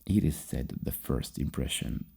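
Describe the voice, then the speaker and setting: A man speaking softly and breathily as an ASMR psychologist, asking questions in a halting, musing way. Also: expressive. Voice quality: soft, breathy, gravelly low murmur. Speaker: ASMR roleplay host playing a psychologist. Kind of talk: soft-spoken ASMR questioning.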